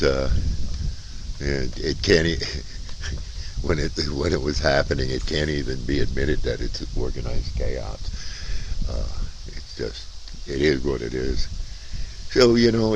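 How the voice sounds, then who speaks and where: A man, chatting casually and folksily as a CB radio hobbyist, with rambling, hesitant pauses. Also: rumbling low, noisy background. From United States. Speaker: amateur CB radio operator and vlogger. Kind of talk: casual vlog chat and radio contact calls.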